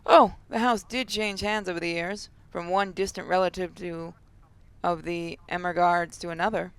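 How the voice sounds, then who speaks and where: Female gaming host, casual commentary and read-aloud narration, smooth voice with heavy fry. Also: lowish voice, fairly even intonation, moderate pace, no echo.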